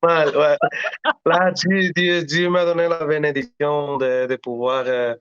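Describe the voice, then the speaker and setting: A man's voice: a little high, fairly even intonation, fairly bright tone, clear, resonant tone, no echo. Earnest man. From Latin America. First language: Spanish. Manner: earnest, halting, frequent restarts. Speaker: singer, interview guest. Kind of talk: casual interview talk. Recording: dull lo-fi audio.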